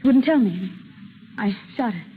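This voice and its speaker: A woman playing a distraught, timid young daughter, halting and stammering, in 1930s radio drama style. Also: mid-range voice, expressive, natural voice. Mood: desperate. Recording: vintage radio recording, narrow band, hissy.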